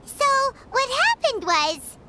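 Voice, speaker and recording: A woman voicing a small, childlike, cheeky companion girl in a video game, with a squeaky voice and an outspoken, eager manner. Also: huge pitch swings, slow pace, very noisy, full character voice. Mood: earnest. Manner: bubbly, outspoken.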